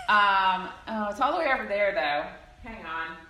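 A woman co-hosting a podcast in casual chat, playful and animated, often reading aloud. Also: mid-range voice, a little slow, poor audio. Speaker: podcast co-host.

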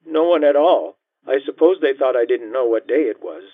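A man's voice: medium pitch, expressive, moderate pace, no echo, narrow, tinny audio, voice pushed up, close to an everyday voice. A man voicing a lonely elderly woman in a nursing home, her voice quavering and tearful. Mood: sad, tearful.

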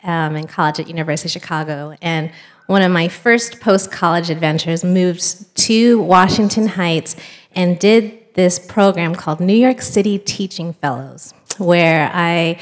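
A woman in her thirties from the United States, a pastor telling her faith story in a chatty, storytelling way with fillers and small laughs. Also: lowish voice. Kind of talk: church talk, personal testimony.

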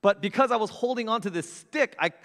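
A middle-aged man preaching a sermon, telling funny stories about himself with big swings in loudness. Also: high-pitched, a little fast, in a large hall. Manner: animated, self-mocking storyteller with big swings in loudness. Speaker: pastor. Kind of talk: storytelling sermon. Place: church sanctuary with long reverb.

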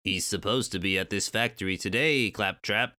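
A man's voice: on the low side, normal speed, bright, forceful tone, no echo, studio quality. A man voicing a giant, resentful bumblebee henchman who complains, in a storybook. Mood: disgruntled.

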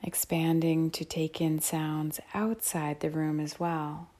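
A woman leading a guided mindfulness meditation in a soft, calm, measured manner. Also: deep voice. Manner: soft, calm, measured instruction with long phrases and pauses.

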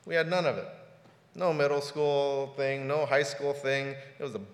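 A man's voice: medium pitch, fairly even intonation, normal speed. A pastor in his forties preaching a sermon in a casual, conversational way, often repeating words as he talks. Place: church sanctuary. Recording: roomy hall reverb.

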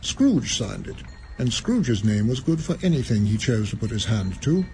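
A man narrates a radio play at a middle pitch, measured and deliberate. He savors the words as he goes. A faint hiss sits under his voice. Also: sing-song, dark tone. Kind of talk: scripted dramatic narration.

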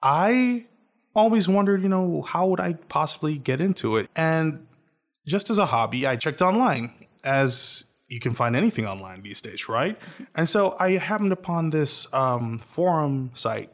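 A man's voice: on the high side, big pitch swings, measured pace. A man with an American accent, a guest chatting casually on a podcast and rambling through restarts. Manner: rambling, with many restarts and fillers. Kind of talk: casual chat.

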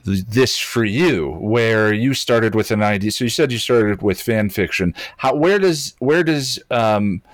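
Lower-pitched man hosting, close dry sound. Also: lively intonation, measured pace, hardly any pauses, no echo. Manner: hesitant, feels his way toward questions. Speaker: podcast host and interviewer. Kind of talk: casual podcast interview.

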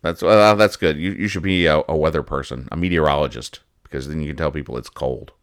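Male host, low smooth voice, casual comedic commentary, halting and mock-earnest. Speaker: host of a comics-reading show. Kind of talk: casual humorous commentary on newspaper comics.

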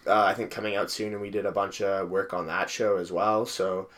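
Young man in his twenties from Canada, a bit low-pitched, relaxed talker who says "like" a lot. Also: fairly quick. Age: 20s. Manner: easygoing and conversational, with lots of "like". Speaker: guest, drone videographer. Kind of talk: casual interview chat.

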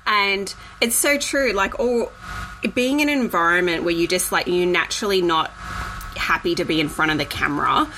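A woman's voice: on the low side, moderate pace, sharp tone, a little hiss or hum, low-quality recording. A woman co-hosting a podcast in casual chat, speaking in an effusive, enthusiastic way. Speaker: podcast co-host.

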